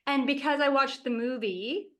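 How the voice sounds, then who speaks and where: Woman, book club chat, slightly high voice, earnest and emotional. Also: normal speed, a bit bright.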